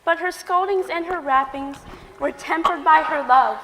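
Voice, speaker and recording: A woman's voice: sits high, normal speed, sharp tone, slight background noise. A woman playing a devoted, earnest grown daughter with a dramatic streak, delivered theatrically for a stage comedy. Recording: echoey hall with long reverb.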